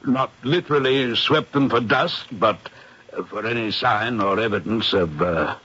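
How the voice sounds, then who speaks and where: An actor playing a courteous aristocratic host, polite and halting, in an old radio drama. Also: male voice, mid-range voice, pushed and bright, breathy edge. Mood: hesitant. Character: courteous, hesitant aristocratic country-house host. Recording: muffled 1970s radio recording.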